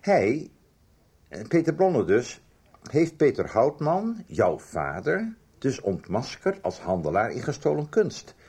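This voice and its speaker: An actor playing an older book publisher who haltingly and deliberately recounts a guilty past in a radio drama. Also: male voice, medium pitch, huge pitch swings, a little mellow, breathy edge, heavily acted. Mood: grave, pointed. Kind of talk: radio drama dialogue, reminiscing.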